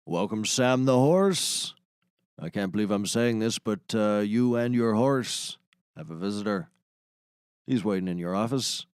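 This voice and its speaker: A man playing a detective's wearily deadpan, gravelly office assistant in a scripted comedy. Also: mid-range voice, measured pace, no room sound, studio quality. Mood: dry, bemused.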